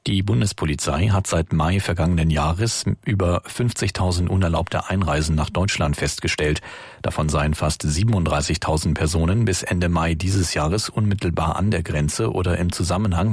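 A man reading the evening radio news and weather in a calm, even newsreader manner. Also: sits low, moderate pace, slightly rough, no room sound, clean studio sound.

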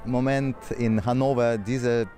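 Conductor speaking halting. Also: male voice, mid-range voice, steady tone, dark tone, soft background music. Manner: halting, searching for words, repeats himself. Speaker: chief conductor. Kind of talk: interview soundbite.

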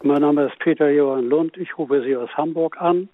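A man's voice: fairly high pitch, normal speed, dry recording. A man calling a radio show, speaking hesitantly with frequent filler sounds. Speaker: caller. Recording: tinny phone line.

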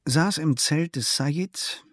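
A man reading a first-person travel diary aloud in a measured, unhurried way. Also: fairly high pitch, fairly bright tone, no room sound, clean studio sound. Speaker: narrator.

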